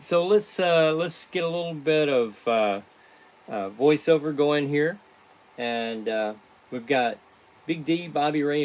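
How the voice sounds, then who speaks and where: A man hosting a casual behind-the-scenes stream as a podcast creator, chatting to viewers in a halting, rambling way. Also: medium pitch. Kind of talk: casual livestream chat to viewers.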